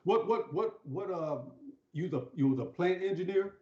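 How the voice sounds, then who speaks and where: A man's voice: high-pitched, lively intonation, normal speed, a little mellow. A man hosting an informal interview, often repeating words as he asks his questions. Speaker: interview host. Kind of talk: conversational interviewing. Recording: dull, echoey room livestream audio.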